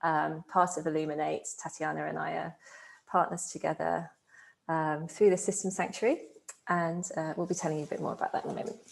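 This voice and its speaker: A woman's voice: sits low, little pitch movement, fairly quick, vocal fry. A woman introducing herself on an online panel in an upbeat, chatty way, over a video call. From United Kingdom.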